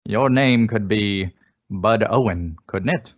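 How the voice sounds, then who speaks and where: Man acting a small-town ex-police chief, radio suspense: slightly low voice, folksy, insinuating persuader, muffled lo-fi sound. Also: slow. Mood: probing. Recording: dull, lo-fi audio.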